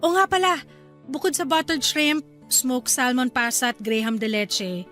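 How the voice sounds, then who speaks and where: An eager young Filipino wife and new mother in a radio drama. Her voice is high and cheerful, with music playing along. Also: measured pace, sharp tone. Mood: chatty.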